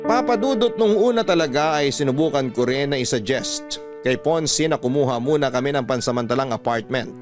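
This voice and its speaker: A man narrating a listener's letter in first person on a radio storytelling show, steady and earnest. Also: mid-range voice.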